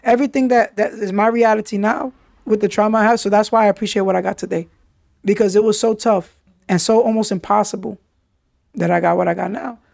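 A man's voice: high voice, steady tone, fairly quick, gravelly, darkish tone, no room sound, clean studio sound. A man, hosting a podcast and telling his life story candidly in street slang, often saying "yo" and "you understand?". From New York City. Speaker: podcast host.

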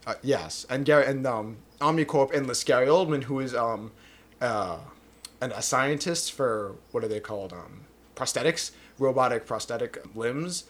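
A man's voice: mid-range voice, normal speed, fairly bright tone. Man casually summarizing a film plot. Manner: rambling, hedges with "kind of". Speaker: podcast co-host.

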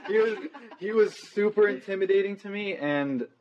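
A nineteen-year-old man talks loosely as a guest on a podcast, piling "like" and "um" into his stories. His voice sits at an ordinary pitch. The recording sounds a little dull. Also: moderate pace, background noise. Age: young adult. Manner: rambling, loose, many fillers. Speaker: guest musician. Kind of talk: casual interview chat.

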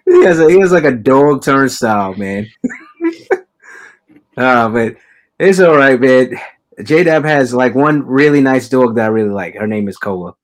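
A man's voice: medium pitch, animated, measured pace. A man hosting a casual podcast, teasing his co-hosts and laughing often. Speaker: podcast host.

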